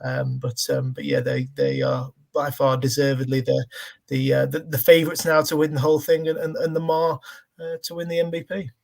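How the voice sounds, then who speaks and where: A man giving casual sports analysis as a podcast panelist, halting and often repeating his words as he thinks. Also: medium pitch, gravelly.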